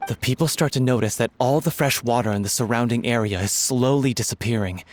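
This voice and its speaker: A man's voice: mid-range voice, a little fast, no room sound, natural voice. A man voicing an enthusiastic theatre-troupe scriptwriter who recounts his story at length. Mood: narrating. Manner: storytelling, excitable. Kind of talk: game voice acting.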